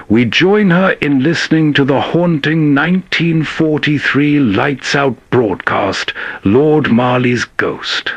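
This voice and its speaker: Slow, dry-sounding male narrator. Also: medium pitch, gravelly, fairly bright tone, no room sound. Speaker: host narrator. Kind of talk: atmospheric scripted narration.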